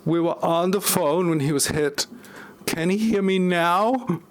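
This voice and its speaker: A man's voice: high voice, unhurried. A man playing an anxious, fussing woman in a parody audio play.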